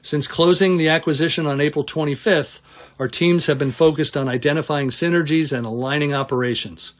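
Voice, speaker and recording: A middle-aged or older man, a chief executive, reading prepared earnings call remarks in a formal, measured, businesslike way. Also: a little high, fairly even intonation.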